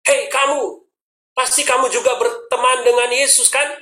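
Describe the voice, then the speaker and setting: A man's voice: very high-pitched, flat delivery, normal speed, pushed and bright, roomy sound, pitched above the performer's own voice. A man voicing a suspicious bystander who sharply accuses someone. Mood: accusing.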